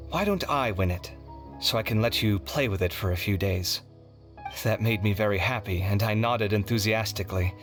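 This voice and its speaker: A man playing an earnest, soft-hearted young male scholar in a video game, speaking in a sorrowful, reflective way. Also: fairly low pitch, lightly acted. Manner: reflective storytelling.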